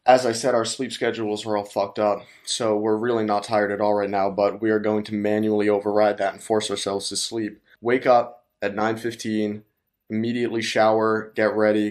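A young man vlogs into a handheld camera from a hotel room. His voice sits a bit low and he sounds excited, swearing freely as he chats. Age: young adult. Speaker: vlogger. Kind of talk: casual vlog talk to camera.

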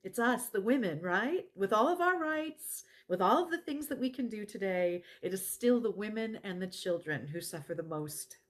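An earnest middle-aged woman played by an actress gives a personal testimonial. She speaks deliberately, pausing for emphasis, at a medium pitch, in a dramatized monologue about her work. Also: lively intonation, close to an everyday voice. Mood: earnest, impassioned. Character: earnest woman who helps domestic violence victims. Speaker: actor in a dramatized narrative. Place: living room at home.

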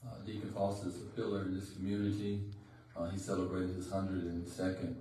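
A city councilman giving measured tribute remarks, pausing often on fillers. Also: low-pitched, completely flat delivery, warm tone, slight background noise. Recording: echoey chamber from far off.

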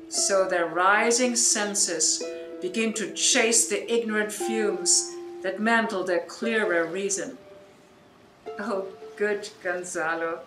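A woman playing a grave, commanding sorceress and wronged duchess in a Shakespearean radio play, declaiming grandly and deliberately. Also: medium pitch, close to an everyday voice. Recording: roomy home recording made online.

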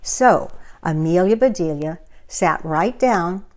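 A woman in her sixties reading a picture book aloud to children in a deliberate manner, with asides to the listener. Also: fairly low pitch, expressive. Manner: deliberate, asks the listener questions. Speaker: children's storyteller. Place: living room, indoors.

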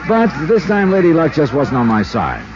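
A self-assured American investigator from 1950s radio drama. His delivery is wry and very animated in pitch. The sound is an old, muffled broadcast with music clearly behind it. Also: moderate pace. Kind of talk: 1950s radio detective drama narration and dialogue. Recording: dull 1950s radio recording, loud music.

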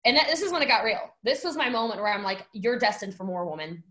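A woman's voice: fairly high pitch, quick pace, a bit bright. A young woman telling a personal story in an emphatic, dramatic, slangy way, as a podcast guest on a video call from home. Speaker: podcast guest, network marketing entrepreneur.

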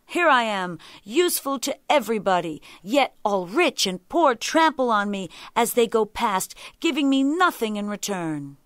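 Woman as an aggrieved talking road, animated pitch. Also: moderate pace, few pauses, no echo, studio quality. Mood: aggrieved, scornful. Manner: lofty, aggrieved complaining. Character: downtrodden, resentful road, scornful and self-pitying. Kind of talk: folktale storytelling.

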